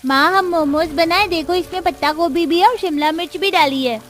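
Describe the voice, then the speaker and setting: A woman's voice: very high-pitched, moderate pace. A woman voicing an eager young child in a Hindi cartoon story, explaining things earnestly. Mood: eager, pleading.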